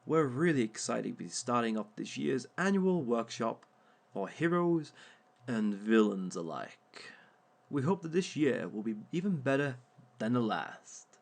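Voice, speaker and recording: A man playing a smug, gravelly villain lecturer. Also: mid-range voice, sing-song, heavily acted. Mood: welcoming. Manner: dry, teasing, unhurried, talks to unseen listeners. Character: smug male villain lecturing a dating workshop. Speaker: workshop speaker. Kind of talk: audio roleplay monologue.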